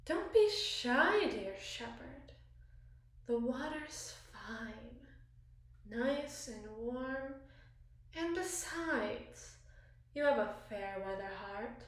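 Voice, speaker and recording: A woman playing a battle-scarred, commanding evil queen in an intimate ASMR roleplay, speaking formally and wistfully with deliberate, theatrical pauses. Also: sits high, expressive, exaggerated voice. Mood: coaxing, wry. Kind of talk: ASMR roleplay, slow dramatic monologue. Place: small bathroom, indoors. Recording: echoey tiled bathroom.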